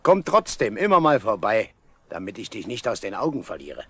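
Hearty, animated village official. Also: male voice, fairly low pitch, moderate pace, slightly rough, no echo, exaggerated voice. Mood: caring, brisk. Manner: avuncular, reassuring, chatty. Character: hearty, caring village official, jovially taking charge. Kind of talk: 1970s German radio play acting.

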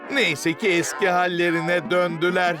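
A man's voice: high voice, level tone, unhurried. A man narrating a children's cartoon in an exclamatory, theatrical way, with frequent rhetorical questions. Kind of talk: animated scripted cartoon narration. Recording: music under the voice. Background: music.

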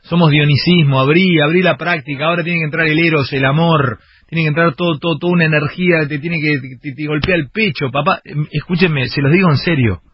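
A man's voice: fairly high pitch, a little fast, husky, no room sound. An Argentine man hosting a radio show, talking in a fiery, rambling, theatrical way. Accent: Argentine Rioplatense. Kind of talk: radio banter. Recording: dull muffled radio broadcast.

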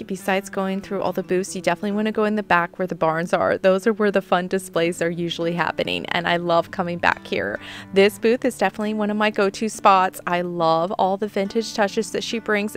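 A woman narrating a shopping vlog in a relaxed, gushing voice-over, with soft music playing behind her. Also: mid-range voice. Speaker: vlogger.

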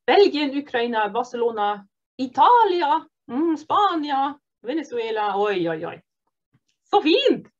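A woman teaching an online beginner Norwegian course, cheerful and encouraging, modelling phrases slowly and clearly. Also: high voice, sing-song, lo-fi sound. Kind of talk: online beginner language lesson.

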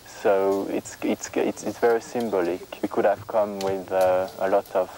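Halting man, low voice. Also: little pitch movement, mellow. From France. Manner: halting, searching for words, many restarts. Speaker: volunteer interviewee. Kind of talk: interview answers.

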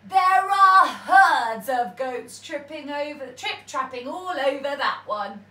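A tiny, timid storybook creature, squeaky and pleading, as a woman voices it while reading aloud. The voice is very high and lively, and the reading is slow. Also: roomy sound, far from the mic, exaggerated voice. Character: small timid mouse and rabbit pleading not to be eaten.

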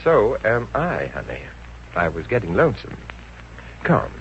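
Dim flirtatious wisecracker, extremely swooping intonation, hammy wheedling, vintage radio comedy, hissy dull recording. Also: male voice, fairly low pitch, wildly swooping pitch, a little slow, exaggerated voice. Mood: playfully coy. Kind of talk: 1950s radio comedy acting.

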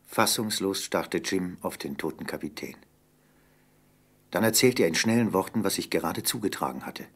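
Husky male storyteller. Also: low voice, normal speed, fairly bright tone. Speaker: narrator. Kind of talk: scripted narration of an audio drama.

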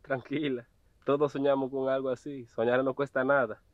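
A man's voice: medium pitch, little pitch movement, moderate pace, no echo, highs cut off, natural voice. A young man acting as an obedient servant at a farm gate, who talks in a teasing, mocking way. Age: young adult. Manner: teasing, chuckling. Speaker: actor. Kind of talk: web drama acting.

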